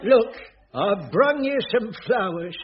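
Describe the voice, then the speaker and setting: An actor playing a bumbling, tight-fisted middle-aged caretaker in a radio sitcom, sheepish and fumbling. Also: male voice, high-pitched, big pitch swings, takes it slowly, heavily acted. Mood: sheepish, wooing. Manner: sheepish, fumbling, dim-witted. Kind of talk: 1980s radio sitcom acting. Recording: dull, hissy old radio broadcast.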